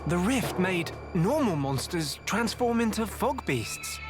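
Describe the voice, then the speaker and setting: A man's voice: high voice, big pitch swings, takes it slowly, music in the background, full character voice. A man playing an earnest, thoughtful young hero in a video game, plain-spoken and determined. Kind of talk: video game cutscene acting.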